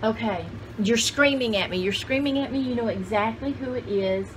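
A woman's voice: a little high, normal speed, slight background noise, poor audio. A woman in her sixties chatting casually to the camera in a rambling, folksy, exclamatory way, often talking to herself and her viewers. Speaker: vlogger showing vintage finds. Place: cluttered indoor room with shelves.

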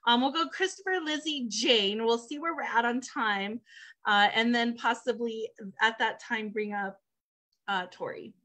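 A woman's voice: fairly high pitch, measured pace, pushed and bright. A woman hosting an open mic over a video call, talking in a chatty, rambling way. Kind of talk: casual hosting.

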